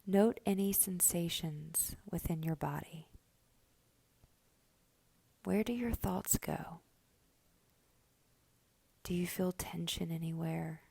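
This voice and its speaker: Slow, hushed woman guiding a meditation. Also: lowish voice. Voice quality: soft, breathy, often near whisper. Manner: gentle, slow, instructing with long pauses. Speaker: guided meditation host. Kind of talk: slow guided meditation narration.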